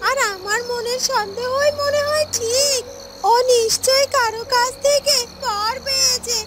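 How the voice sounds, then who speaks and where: A woman's voice: very high-pitched, measured pace. A woman voicing a jealous, greedy young stepsister in a cartoon, in a whiny, demanding, exclaiming manner. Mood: scheming, excited. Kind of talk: fairy tale cartoon acting.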